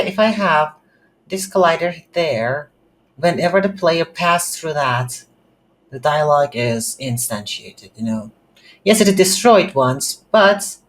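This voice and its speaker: Slow, hesitant tutorial instructor. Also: medium pitch. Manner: hesitant, thinking aloud in short phrases. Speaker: game development tutorial instructor. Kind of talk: unscripted screen-recorded tutorial narration. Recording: faint background noise.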